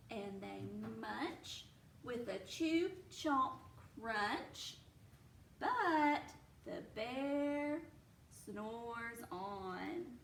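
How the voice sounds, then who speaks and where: A woman reading a story aloud to young children like a teacher, expressively, pausing to explain and ask questions. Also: fairly high pitch, creaky. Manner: expressive, slow, explaining as she reads. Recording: roomy, distant mic, faint noise.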